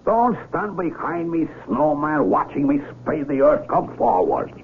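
Blunt blind doctor, slow, animated, mocking, contemptuous, old radio play sound. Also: male voice, a little high. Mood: scornful, dismissive. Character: scornful blind village doctor and farmer, gruff, bluntly honest. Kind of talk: radio drama acting. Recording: tinny vintage radio broadcast with hum.

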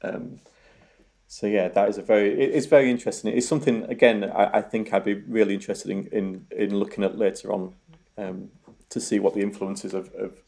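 A man's voice: on the low side, flat delivery, brisk, vocal fry, mellow. A young man with a Yorkshire accent, a researcher answering interview questions in a hesitant way, full of ums and repeated words. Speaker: robotics researcher guest.

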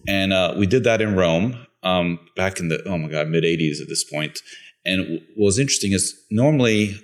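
A man's voice: low voice, lively intonation, normal speed. A middle-aged man from Bulgaria, a podcast co-host, chatting casually and telling his background with frequent restarts. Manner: conversational storyteller with restarts.

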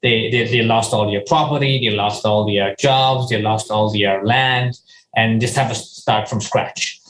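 A man's voice: on the low side, steady tone, unhurried, a bit bright, echoey room, poor audio. A man from Ghana, a company founder, answering interview questions earnestly, often restarting his sentences and saying "you know".

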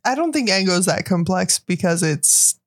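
A woman's voice: lowish voice, lively intonation, moderate pace, no echo, studio quality. A woman chatting casually as a podcast co-host. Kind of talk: casual chat.

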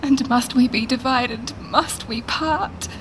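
A loving, sorrowful queen stripped of her crown. She speaks formal verse very slowly in a Shakespearean radio play. There is faint noise and ambience behind her. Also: a little high. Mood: pained disbelief. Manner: formal, poetic, measured verse delivery. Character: sorrowful, loving, proud deposed queen of England. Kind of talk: Shakespearean radio drama acting.

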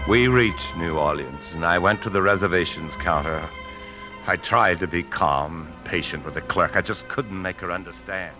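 A deep-voiced American husband played by a male actor from a 1950s radio play, edgy and desperate. He presses his case insistently and narrates in a confiding tone. It is an old broadcast with music in it. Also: normal speed, close to an everyday voice. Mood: tense recounting.

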